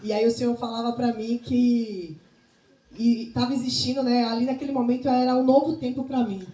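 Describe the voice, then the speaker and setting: A woman's voice: fairly high pitch, flat delivery, brisk, warm tone. A middle-aged woman with a Bahian Brazilian accent giving an informal, didactic talk, often checking that listeners agree. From Salvador, Bahia, Brazil. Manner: didactic, often seeking agreement with tag questions. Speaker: nutrition speaker and missionary. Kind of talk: informal public talk with religious touches. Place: indoor talk to a women's group. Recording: echoey room, faint background noise.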